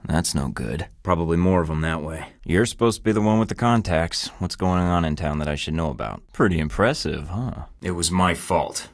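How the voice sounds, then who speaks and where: A man's voice: low voice, moderate pace, hardly any pauses. An actor voicing a young male secret agent in a video game, dry and wry with sardonic one-liners. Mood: cocky. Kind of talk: game voice lines. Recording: studio game dialogue.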